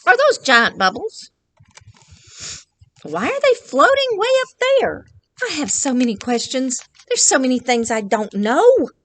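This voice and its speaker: A curious, innocent sea dragon from a picture book, played by an older woman reader. It speaks high and with lots of rise and fall. The phrases are drawn out in puzzled wonder. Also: wildly swooping pitch, fairly bright tone, heavily acted. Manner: wondering, drawn-out storybook delivery.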